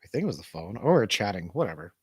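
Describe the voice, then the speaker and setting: Male talk host, rambling and bantering, very animated intonation, close dry sound. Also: lowish voice, quick pace, breathy edge, no echo. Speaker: radio show host and DJ. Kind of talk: casual chat.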